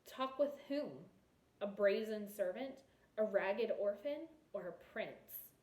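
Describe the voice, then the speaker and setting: A woman's voice: mid-range voice, animated, takes it slowly, a little mellow. A woman voicing a proud, wounded young princess in a storybook, with clipped, accusing delivery, in a roomy space. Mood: icy, scornful.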